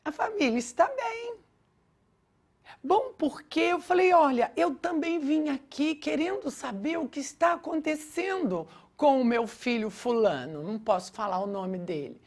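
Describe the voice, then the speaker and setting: A woman's voice: high-pitched, expressive, measured pace, a little mellow. A middle-aged Brazilian Portuguese-speaking woman teaching a lesson with personal anecdotes in an emphatic way, acting out reported dialogue. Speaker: teacher of a religious education lesson.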